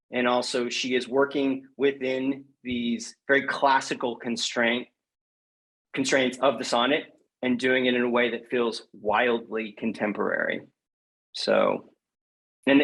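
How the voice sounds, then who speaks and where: A man's voice: medium pitch, fairly bright tone, rough recording. A man with a mid-range voice talks as a guest poet on a podcast. He is thoughtful and emphatic, building his points through restatement in a conversational interview style.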